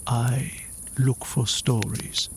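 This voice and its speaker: Man playing a slow, gentle fantasy mage. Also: mid-range voice, fairly even intonation, a little hiss or hum. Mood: gentle, reassuring. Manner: soft-spoken, unhurried, muttering to himself at times. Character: gentle, absent-minded male mage, hospitable forest dream keeper. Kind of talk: ASMR fantasy roleplay.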